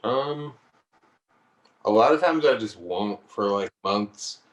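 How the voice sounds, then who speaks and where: A low-voiced man chats hesitantly about songwriting, laughing easily between his thoughts. The sound comes over a video call. It is roomy and a little distant from the mic. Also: expressive, slow. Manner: hesitant, laid-back, laughs often. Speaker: singer-songwriter guest. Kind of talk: relaxed conversational interview.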